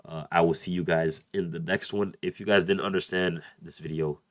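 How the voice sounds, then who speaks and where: A man with an extremely low, deep voice walks viewers through price charts, repeating his favorite praise words and phrases as he goes. He sounds a little off from a recent cold and talks into a desk mic at his computer. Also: fairly even intonation, normal speed, fairly bright tone, no echo. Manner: repeats "beautiful" and "let's play this out", addresses "you guys". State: just over a cold, voice a bit off. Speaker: trading tutor. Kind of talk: screen-share chart walkthrough.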